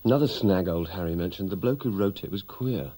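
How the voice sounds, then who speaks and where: A man's voice: sits low, brisk, warm tone, slightly rough. An actor playing a wry, weary British wartime airman in a radio play, with a dry, droll, articulate delivery. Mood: droll, conversational. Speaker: narrator and character.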